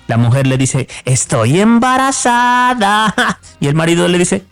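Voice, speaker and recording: Un humorista de radio que cuenta chistes y se ríe de sus propios remates. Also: male voice, on the high side, fairly quick, gravelly, darkish tone, faint music behind. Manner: joke-telling, laughing at his own punchlines. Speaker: radio comedian.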